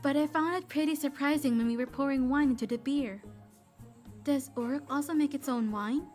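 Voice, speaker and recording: Woman as a high-pitched, earnest young companion. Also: a little fast, darkish tone, soft background music, close to an everyday voice. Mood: curious. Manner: precise, polite explaining. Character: polite, studious young female companion, earnest and curious. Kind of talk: comic dub acting.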